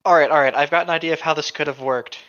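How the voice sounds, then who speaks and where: A man co-hosting a podcast, chatting casually and reading stories out loud. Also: on the high side, fairly even intonation, rapid-fire. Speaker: podcast co-host. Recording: slightly dull, lo-fi microphone sound.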